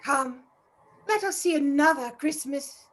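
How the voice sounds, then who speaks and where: An actress playing a solemn, commanding Ghost of Christmas Past in a radio play, with a formal, deliberate delivery. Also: female voice, high-pitched, over loud music.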